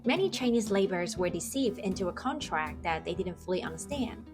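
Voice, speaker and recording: Woman narrating over soft music. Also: medium pitch, brisk. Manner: clear, even storytelling with brief mm-hmm cues. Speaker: food video host. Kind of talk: scripted documentary narration and interviewing.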